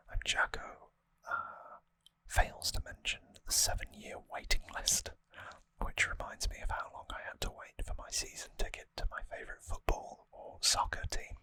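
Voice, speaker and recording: An ASMR host whispering a casual, relaxed, rambling trivia recap very close to the microphone. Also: moderate pace, rough recording.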